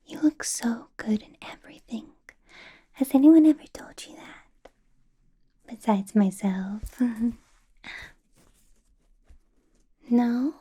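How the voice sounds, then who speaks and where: A woman playing a doting, possessive female vampire boss in an intimate ASMR roleplay, coaxing and flirting in a breathy whisper. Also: a little high, animated, slow, pauses often, dark tone, exaggerated voice. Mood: adoring, flirtatious. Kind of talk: ASMR roleplay, personal attention.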